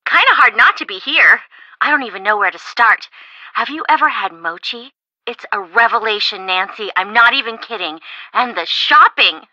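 A woman's voice: fairly high pitch, normal speed, dry recording, muffled recording. An actress playing a bubbly, chatty, teasing young American woman, a shopping-loving best friend in a video game. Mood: bubbly, upbeat. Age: young adult. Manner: expressive, teasing, casual.

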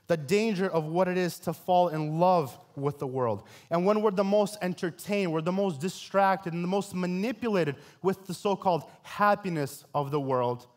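A young man preaching a sermon in an earnest, deliberate way, addressing his listeners directly. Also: a little high. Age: young adult. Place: church stage at a pulpit, before a congregation.

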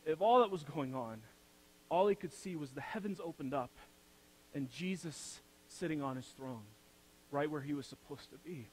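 A young man preaching a sermon from a church pulpit. He builds to loud, emphatic peaks, then drops to soft, low phrases. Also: normal speed, slight background noise, rough recording. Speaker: pastor. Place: church sanctuary, speaking from the pulpit.

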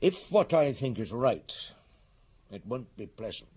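An actor playing a middle-aged chief inspector in a radio drama, measured and gently coaxing as he questions, with murmured hums and pauses. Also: medium pitch, very animated, breathy edge. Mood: pensive. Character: patient, probing middle-aged French police chief inspector. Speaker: detective interrogating a witness.

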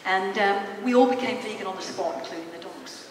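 A woman's voice: medium pitch, fairly quick. A woman in her fifties giving an informal, conversational public talk as a storytelling presenter in a large hall. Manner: conversational, storytelling, addresses listeners with questions. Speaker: speaker, psychologist presenting. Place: large theatre hall, in front of stage. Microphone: handheld mic through PA, captured by distant camera. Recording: echoey hall from far off, noisy.

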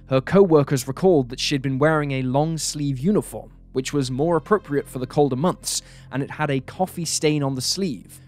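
A man narrating a true crime story from a script in a measured, serious manner. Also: mid-range voice, darkish tone. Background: background music.

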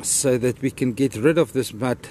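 Afrikaans-accented man, mid-pitched, rambling outdoor vlog talk on a camera mic, faint background noise. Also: moderate pace, warm tone. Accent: Afrikaans-accented English. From South Africa. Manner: rambling, grateful, talks fondly to his dog. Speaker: vlogger. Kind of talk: casual vlog narration while walking a dog. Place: outdoors on a beach and a wooden boardwalk trail.

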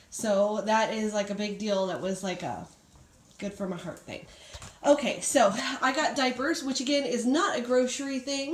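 A woman in her thirties, a vlogger, chatting casually and upbeat as she goes through her groceries and their prices, recorded on a handheld phone at home. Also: mid-range voice. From Ohio, United States. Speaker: vlogger showing a grocery haul. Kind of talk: casual chat to camera. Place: home room with groceries on a table, TV nearby. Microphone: phone camera held in hand. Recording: echoey room, background noise.

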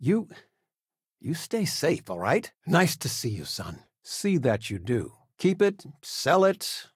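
An actor playing an affable, proud older father, a retired teacher in a video game, speaking fondly with gentle wry humor. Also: fairly high pitch, sing-song, slow pace, husky, no echo, clean studio sound, full character voice. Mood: warm, reassuring. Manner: fond, reassuring, gently joking. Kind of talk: video game character dialogue.

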